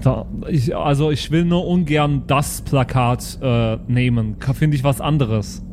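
Casual male gamer, mid-pitched voice. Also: a little slow. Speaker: tabletop game player. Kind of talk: casual improvised role-play chat. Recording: background noise and room ambience.

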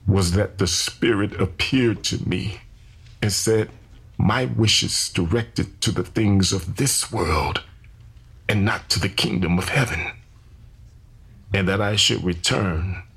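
An actor playing a solemn, visionary enslaved preacher in his thirties, confessing deliberately in scriptural phrases with a gravelly, husky voice. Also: deep voice, fairly bright tone, heavily acted. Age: 30s. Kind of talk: dramatized first-person confession.

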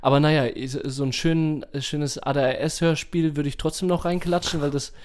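A man's voice: fairly high pitch, fairly even intonation, normal speed, a little mellow. A man chatting informally as a podcast co-host. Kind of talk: casual chat.

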